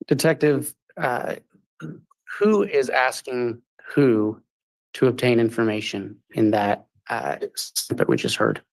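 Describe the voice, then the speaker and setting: Creaky-voiced man questioning in court. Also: mid-range voice, big pitch swings, slow, poor audio. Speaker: lawyer questioning a witness.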